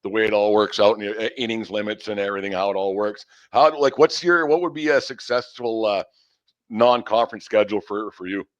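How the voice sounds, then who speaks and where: A man's voice: lowish voice, fairly quick, dry recording, highs cut off. A man in his fifties hosting a casual sports interview, chatty, rambling and joking at his own expense. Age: 50s. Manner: rambling, joking and self-deprecating. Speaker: sports show host and interviewer.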